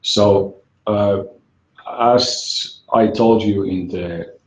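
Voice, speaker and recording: A man hosting a webinar, speaking haltingly with repeated words and pauses. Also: fairly low pitch, fairly even intonation. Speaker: webinar host, software company representative. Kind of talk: webinar presentation. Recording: echoey room, faint music.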